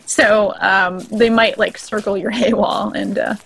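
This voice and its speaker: A woman's voice: mid-range voice, fairly even intonation, takes it slowly, creaky, a bit bright, husky, rough recording. A woman with a Boston accent, co-hosting a casual game stream, joking and laughing often as she explains game lore. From Boston area. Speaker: co-host. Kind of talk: casual stream chat over gameplay.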